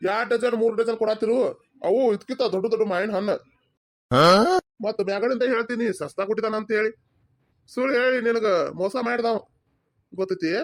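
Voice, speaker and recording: A man voicing a blunt, sceptical village uncle in a cartoon comedy, speaking emphatically with a North Karnataka accent. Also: very high-pitched.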